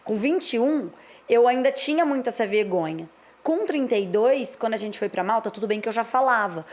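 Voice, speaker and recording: A woman's voice: a little high, animated, a little fast. A woman in her thirties from Brazil, co-hosting a casual chat and speaking candidly and reflectively in long stretches. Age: 30s. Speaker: co-host.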